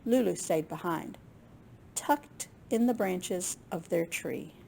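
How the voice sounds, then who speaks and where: A woman with a slightly husky, gravelly voice reading a picture book aloud to young children in an expressive way. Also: on the low side, slow pace, a little hiss or hum. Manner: expressive, with gasps and questions to young listeners. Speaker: children's librarian storyteller.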